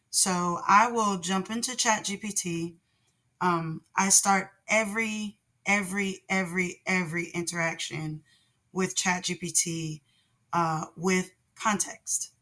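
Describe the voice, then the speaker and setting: Casual vlog host, woman, low raspy voice from a cold, lots of ums and restarts. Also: sharp tone. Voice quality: naturally deep voice. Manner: rambling, with many ums and restarts. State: hoarse from laryngitis. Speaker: channel host, product designer.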